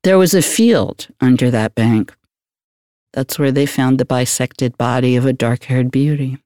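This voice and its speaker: An actor playing an older, world-weary retired homicide detective, brooding through a noir radio theater monologue. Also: male voice, mid-range voice, a little mellow, no echo, clean studio sound, lightly acted. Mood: brooding, wistful. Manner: brooding, deliberate noir storytelling.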